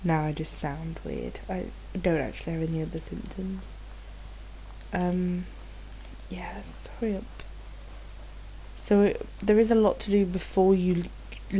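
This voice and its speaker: A woman's voice: low voice, gravelly, dark tone, slight background noise, low-quality recording. A British woman giving casual, rambling gameplay commentary, informal and sweary, sounding slightly nasal from a cold. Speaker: gaming video host.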